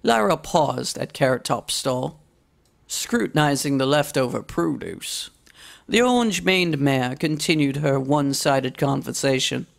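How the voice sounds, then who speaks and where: Creaky-voiced woman narrating a story. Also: extremely low pitch, animated, a little slow. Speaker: story reader. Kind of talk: scripted fiction narration.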